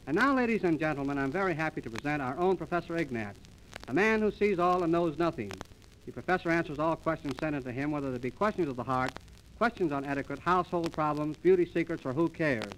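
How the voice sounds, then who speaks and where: High-pitched mock-grand 1930s radio announcer man. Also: expressive, quick pace, fairly bright tone, a little hiss or hum, poor audio. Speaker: comedy announcer and master of ceremonies. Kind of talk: scripted 1930s radio comedy presenting. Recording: restored 1930s radio transcription disc.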